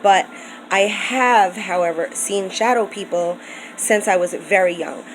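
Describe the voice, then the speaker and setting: A woman's voice: medium pitch, unhurried, faint music behind, rough recording. A woman from the United States talking in an earnest, rambling way with many ums and restarts in a personal vlog. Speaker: vlogger. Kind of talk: casual personal vlog talk.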